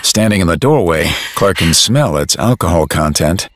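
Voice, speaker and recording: A man's voice: low-pitched, lively intonation, normal speed, fairly bright tone, no room sound. A man reading scripted dramatic narration for an audio drama. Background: music.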